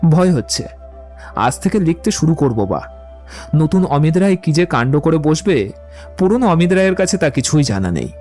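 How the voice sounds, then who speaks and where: A man's voice: a little high, big pitch swings, normal speed, a little mellow, faint music behind. A man playing a witty, poetic young romantic in an audio drama, eloquent and playfully theatrical. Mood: playful bravado. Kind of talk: dramatised audiobook dialogue acting.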